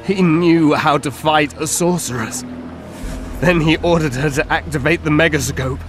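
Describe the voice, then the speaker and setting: An actor playing a wounded elf gravely recounting events in a fantasy video game. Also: male voice, a little high, normal speed, music in the background, natural voice. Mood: grave, pained. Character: wounded male elf witness, grave, pained.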